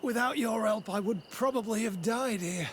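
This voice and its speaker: High-voiced wounded soldier. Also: male voice, normal speed, fairly bright tone, a little hiss or hum. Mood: weak, shaken. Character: wounded male soldier, frightened survivor of a massacre.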